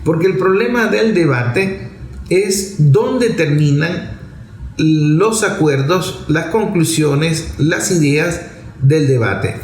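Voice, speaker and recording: A man's voice: a little high, takes it slowly, darkish tone. A man with a Venezuelan accent giving off-the-cuff political commentary in a didactic way, repeating phrases and posing rhetorical questions. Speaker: political commentator addressing an online audience. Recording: roomy echo with faint background noise.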